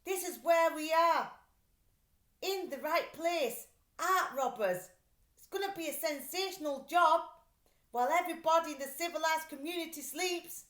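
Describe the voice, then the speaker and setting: Lady doing a cocky guy art thief from a kids book, high showy voice, echoey. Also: moderate pace, fairly bright tone. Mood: boastful. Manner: showy, boastful. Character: brash, self-satisfied male art thief, gang leader. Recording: roomy echo.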